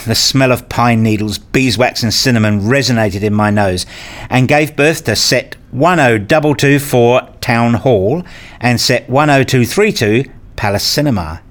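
A man's voice: medium pitch, close to an everyday voice. A man acting in a radio play as a weary, wryly self-mocking academic father who narrates his own story. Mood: eager, secretive. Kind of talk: first-person narration and acted dialogue.

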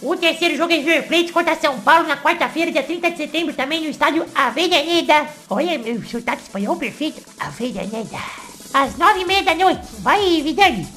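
Brazilian woman hosting a footy pod, high bright voice, soft music under it. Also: expressive, fairly quick. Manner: reads fixtures in a sing-song, then cues each panelist. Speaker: podcast host reading the betting pool. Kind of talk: casual podcast chat.

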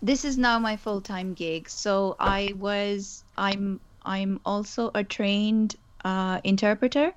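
A woman's voice: medium pitch, slow pace, hardly any pauses, smooth. Thoughtful woman on dull, lo-fi audio. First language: Urdu. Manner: thoughtful, with frequent restarts and fillers. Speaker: guest. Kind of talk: conversational interview.

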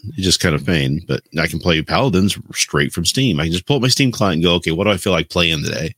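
Deep-voiced man chatting casually. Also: expressive, quick pace, fairly bright tone, slightly rough. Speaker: podcast co-host. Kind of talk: casual chat, sharing personal opinions.

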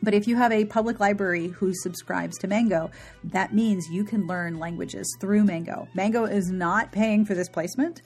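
A woman's voice: medium pitch, normal speed, darkish tone. Chatty woman podcast host over music. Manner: chatty, wry, informal. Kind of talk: casual podcast outro.

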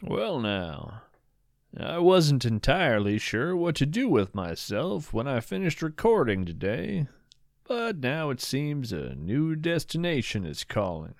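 A man hosting a podcast, reading scripted narration in an expressive, folksy manner. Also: medium pitch, a little slow, vocal fry, no room sound, studio quality. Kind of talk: scripted narration and credits read. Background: plucked string music.

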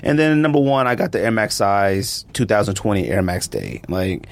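A man co-hosting a casual podcast, talking in relaxed slang and getting animated as he counts down his favorites. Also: fairly low pitch, normal speed. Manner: laid-back slang, animated when counting down. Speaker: podcast co-host. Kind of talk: casual chat about sneakers.

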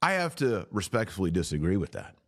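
Low-voiced man, live commentary. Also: big pitch swings, brisk, no room sound, studio quality. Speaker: podcast host and commentator.

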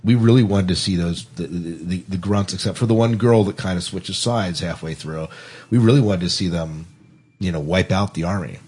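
Low, husky-voiced man hosting a podcast. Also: moderate pace, a little mellow. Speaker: podcast host. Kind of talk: casual film discussion.

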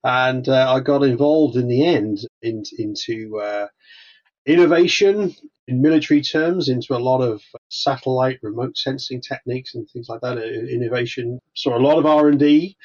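A man's voice: mid-range voice, moderate pace, darkish tone. A man with a Welsh accent, a guest on a podcast, talking casually with frequent restarts. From Wales. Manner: hesitant, many restarts. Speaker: guest consultant. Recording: slightly dull lo-fi sound.

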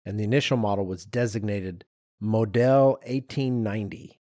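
A middle-aged man with a fairly low voice talks as a podcast co-host. He explains what he has researched in an informal, conversational way, with personal asides. The sound is close and dry, with no room on it. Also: normal speed, mellow, no echo.

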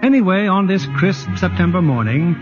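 A man's voice: high-pitched, animated, moderate pace, over music. A man delivering scripted narration for a 1940s radio drama. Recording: dull old radio broadcast.

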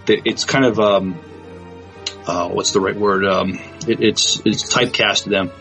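A man co-hosting a podcast, talking casually with a halting delivery. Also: lowish voice, steady tone, gravelly, a bit bright, soft background music. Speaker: podcast co-host. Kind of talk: casual podcast talk and guest introduction.

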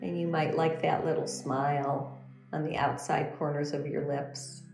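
A woman leading a guided meditation as a teacher, speaking in a gentle, soothing, unhurried instructional manner. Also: sits low, little pitch movement. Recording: roomy echo under background music. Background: meditation music.

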